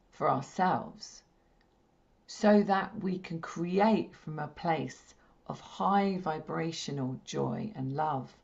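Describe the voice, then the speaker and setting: A woman with a slightly hoarse, croaky voice speaks slowly and gently, repeating her points in a soothing way as she leads a spiritual healing session. Her voice sits low with a gravelly edge. The live-stream audio sounds dull and lo-fi. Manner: gentle, repetitive, soothing delivery. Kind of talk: slow meditative teaching talk.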